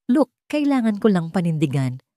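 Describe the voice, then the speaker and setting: A woman's voice: medium pitch, very animated, normal speed, dry recording, clean studio sound, close to an everyday voice. A woman voicing a scheming, arrogant, falsely sweet mother in an audio story. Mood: haughty, scornful. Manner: sharp and haughty, or sugary when pretending.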